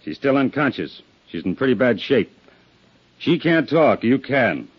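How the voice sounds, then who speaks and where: An actor playing a gruff, suspicious police sergeant in 1950s radio drama, blunt, sarcastic and pressing. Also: male voice, medium pitch, very animated, unhurried. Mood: hard, sarcastic. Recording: dull 1950s radio recording.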